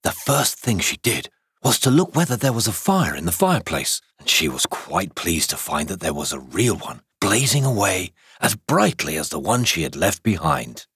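Deep, very husky male storyteller. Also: sing-song, normal speed, fairly bright tone, dry recording, clean studio sound. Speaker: audiobook reader. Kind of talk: scripted children's story narration.

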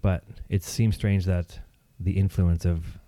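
A man's voice: low-pitched, flat delivery, slow, dark tone, no echo. A man chatting casually, rambling and joking, with lots of fillers. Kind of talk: casual chat.

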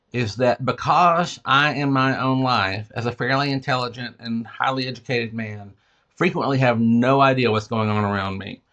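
A man with a bright, forceful voice and a lisp. He talks as an interview guest, learned and emphatic, often breaking off to restate his point. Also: mid-range voice, lo-fi sound. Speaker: interview guest, fiction writer and teacher. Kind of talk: conversational literary interview, discursive explanations.

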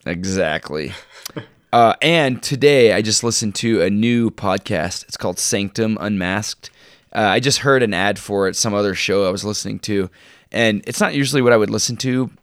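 A man co-hosting a podcast, chatting casually in an informal, halting way and sounding enthusiastic about his favorites. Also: lowish voice, dry recording, clean studio sound. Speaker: podcast co-host. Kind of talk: casual chat, recommending shows and books.

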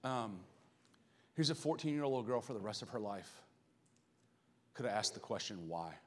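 A man with a Southern American accent, a pastor giving a live church sermon, earnest and building to loud, passionate emphasis. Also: lowish voice, expressive, moderate pace, a little hiss or hum. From Southern United States.

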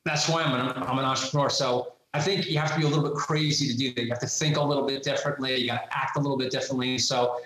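A man's voice: medium pitch, fairly even intonation, racing, few pauses, a bit bright. A man in his forties with a faint New York accent, a guest entrepreneur answering interview questions in a chatty, candid way. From New York, USA. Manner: chatty, candid, laughs easily. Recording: very echoey room.

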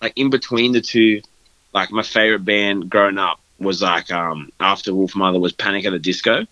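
A man's voice: deep voice, fairly even intonation, moderate pace, bright, forceful tone, no echo, highs cut off. A young man talking casually in an interview, loose and rambling with lots of fillers. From Australia. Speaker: guest band vocalist.

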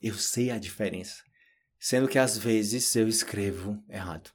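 A Brazilian man with a Brazilian Portuguese accent, giving a relaxed, chatty podcast lesson and addressing learners directly. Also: lowish voice, a little slow, husky. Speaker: Portuguese teacher. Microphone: solo audio recording.

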